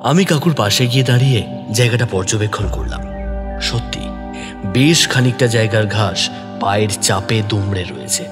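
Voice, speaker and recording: A man narrating a detective story in the first person, expressive and deliberate, also speaking the detective's lines. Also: lowish voice, long reverb tail, music in the background. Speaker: narrator who also voices the young detective.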